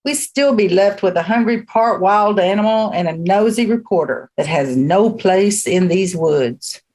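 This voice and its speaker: A woman's voice: medium pitch, lively intonation, a little slow, darkish tone, close to an everyday voice. A woman playing a stern, haughty leader of a rural witch coven in a radio play, speaking in a formal, condescending way. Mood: quietly menacing.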